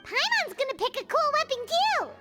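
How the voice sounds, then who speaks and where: Game fairy companion: extremely high, childlike, animated, over clear music. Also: female voice, brisk, heavily acted. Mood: eager. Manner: speaks of self in third person, childish. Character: tiny childlike floating fairy companion, excitable, cautious. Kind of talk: video game character acting. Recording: music playing behind the voice.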